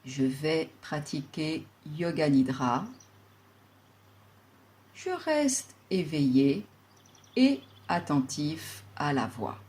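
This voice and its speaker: A woman leading a guided yoga relaxation as an instructor, speaking in a calm, soothing and deliberate way with long pauses. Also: low voice.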